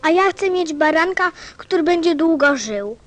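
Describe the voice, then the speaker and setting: A woman's voice: extremely high pitch, lively intonation, moderate pace, a bit bright, slight background noise. A woman playing a curious, insistent little boy prince in a radio play, speaking earnestly like a child. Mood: fussy.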